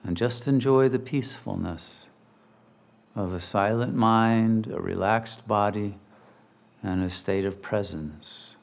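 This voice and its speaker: Very slow-paced man guiding meditation. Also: fairly low pitch, gravelly. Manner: measured, deliberate, soothing phrasing. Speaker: meditation teacher. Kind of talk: guided meditation, slow instruction with long pauses.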